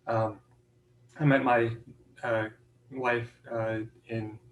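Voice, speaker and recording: A man in his forties giving an informal self-introduction in a hesitant, halting way. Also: medium pitch, little pitch movement, lo-fi sound. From Hungarian-born, grew up in the Washington DC area. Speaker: meeting participant, architect. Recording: roomy echo with faint noise.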